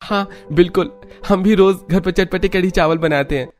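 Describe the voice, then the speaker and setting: A man's voice: sits high, normal speed, darkish tone, lightly acted. A man playing an earnest, supportive young husband in a cartoon story, with music behind him. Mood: assuring.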